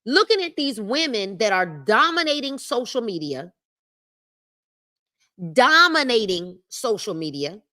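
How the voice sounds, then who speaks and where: A woman hosts a live-streamed book club, moving between reading the text aloud and her own emphatic asides. Her voice is bright and sits a bit high, with animated swings in pitch. She repeats her main points and calls out to viewers in the chat. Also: unhurried. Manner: emphatic, repeats key phrases and speaks to the chat. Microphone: livestream mic.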